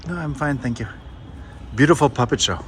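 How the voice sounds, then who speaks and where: A man's voice: medium pitch, fairly quick. A man working as a travel interviewer, casually asking brief questions and giving short appreciative replies. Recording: loud background noise and clicks.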